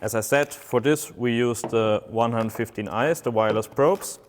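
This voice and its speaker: A man with German as his first language, presenting a product demonstration slowly and haltingly, with many filler sounds. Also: mid-range voice, long reverb tail, slight background noise.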